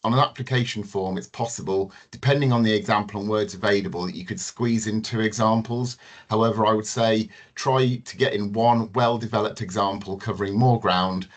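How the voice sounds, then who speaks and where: A man presenting as a team manager in a webinar, giving measured, methodical advice with a few ums. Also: on the low side.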